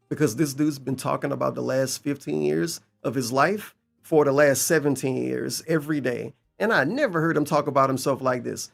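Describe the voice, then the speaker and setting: A man's voice: fairly high pitch, vocal fry. A man giving casual, mocking reaction commentary as a streamer, incredulous, sarcastic and profane.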